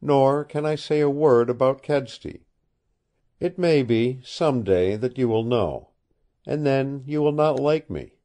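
A man's voice: mid-range voice, measured pace, pitched above the performer's own voice, natural voice. A man voicing a tired, secretive young woman. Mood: weary pleading. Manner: soft, tired, pleading.

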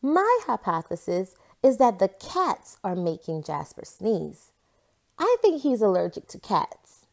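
A woman voicing an eager, confident young girl scientist in a storybook, in an expressive, instructive manner. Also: mid-range voice, unhurried, vocal fry. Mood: explaining, confident.

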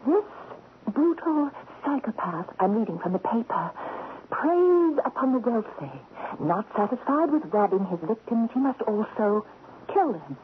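A woman's voice: fairly high pitch, sing-song, a little slow. Taunting spirit queen, tinny radio. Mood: sly, persuasive. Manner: theatrical, insinuating, commanding. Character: seductive, taunting Indian queen spirit, mischievous and cruel. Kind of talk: 1970s radio drama acting. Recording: tinny, hissy old radio recording.

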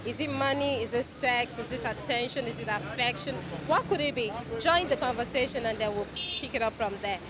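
A woman's voice: fairly high pitch, brisk, hardly any pauses. Bubbly Nigerian woman hosting on the street. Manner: bubbly, excited. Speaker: street interview host. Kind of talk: upbeat street interview hosting. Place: busy city roadside outdoors. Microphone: handheld mic. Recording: outdoor street with traffic noise.

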